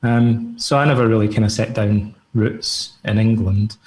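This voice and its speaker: A man's voice: lowish voice, fairly even intonation, normal speed, darkish tone, poor audio. A middle-aged man with a Scottish accent, an author and psychotherapist answering interview questions in an easygoing, rambling way over a video call. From Scotland. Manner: easygoing, rambling with many fillers. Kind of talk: relaxed conversational interview answers.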